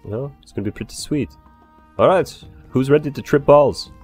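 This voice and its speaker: A man's voice: mid-range voice, fairly quick, darkish tone, soft background music, natural voice. A man improvising an eager, nervously fawning barkeeper in a game role-play, rambling and swearing as he talks. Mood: eager, chatty. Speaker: role-player playing a bar owner.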